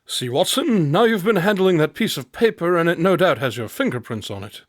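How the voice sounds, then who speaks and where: An actor playing a restless, self-absorbed male detective inventor in a radio drama, brisk and condescending as he explains. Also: on the high side, very animated, dry recording, clean studio sound. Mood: eager, demonstrating. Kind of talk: modern radio drama acting.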